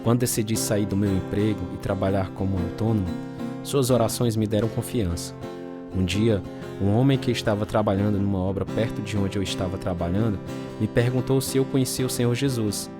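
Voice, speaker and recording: A man's voice: lowish voice, fairly quick, loud music behind the voice, lightly acted. A man with a Brazilian accent playing a troubled, earnest reformed alcoholic, narrating and acting in a radio drama. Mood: reflective. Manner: earnest, confessional. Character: reformed alcoholic ex-prisoner telling his story, troubled but earnest. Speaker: narrator and lead character.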